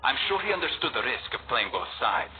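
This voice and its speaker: A man acting as a stoic, guarded young assassin in a video game, speaking in a measured, serious, terse way. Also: medium pitch, sing-song, natural voice. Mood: grave, resolute. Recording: tinny phone-like band, clear noise.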